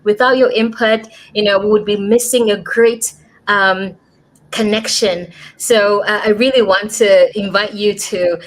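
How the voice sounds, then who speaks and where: A woman's voice: medium pitch, steady tone, a little slow, low-quality recording. An American woman hosting a livestream podcast interview over a video call, chatty, with frequent fillers and restarts. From United States. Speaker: podcast host.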